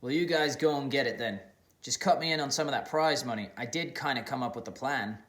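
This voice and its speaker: A man's voice: mid-range voice, fairly quick, fairly bright tone, echoey room, natural voice. A man doing an earnest young jungle-raised man.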